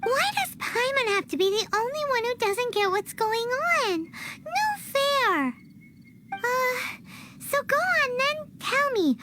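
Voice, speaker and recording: A tiny childlike game sidekick with a piercingly high, squeaky voice. The delivery is whiny and drawn out, with cartoonish indignation. Loud noise sits behind the voice. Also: female voice, heavily acted. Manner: whiny, refers to itself by name. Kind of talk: video game cartoon voice acting.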